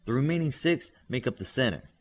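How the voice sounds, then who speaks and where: Young tactician read by a man, confident and analytical, lively intonation, storybook adventure. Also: mid-range voice, a little fast, pitched above the performer's own voice, lightly acted. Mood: confident, tactical. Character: bossy, analytical child team tactician in superhero story.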